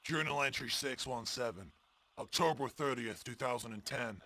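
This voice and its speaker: A man playing a sombre former mercenary, delivering a measured, solemn confession in an audio drama. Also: mid-range voice, sharp tone, close to an everyday voice. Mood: grave, confessional. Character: sombre male ex-mercenary confessing on a recorded journal. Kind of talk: scripted audio drama monologue.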